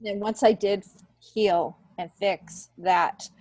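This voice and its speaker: A woman's voice: mid-range voice, steady tone, slow pace, mellow, dry recording, low-quality recording. A middle-aged woman speaking candidly and reflectively in an informal interview, repeating points for emphasis. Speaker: podcast guest, stand-up comedian.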